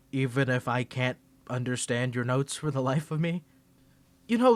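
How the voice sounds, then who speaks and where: A man's voice: mid-range voice, a bit bright, no room sound. A man performing an ASMR roleplay to the listener, flustered and stammering, with defensive grumbling and bossy scolding outbursts.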